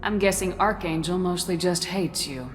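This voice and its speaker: A woman's voice: on the low side, normal speed, lightly acted. A woman playing a cool, assertive military commander in a video game, direct and probing. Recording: loud game music behind.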